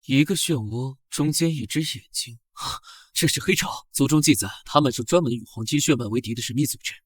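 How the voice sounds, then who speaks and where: A man's voice: mid-range voice, brisk, no room sound, close to an everyday voice. Man playing a sly fox-clan spy, dry-sounding. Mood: grave. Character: sly, teasing nine-tailed fox clan spy, male consort. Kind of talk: animated drama dubbing.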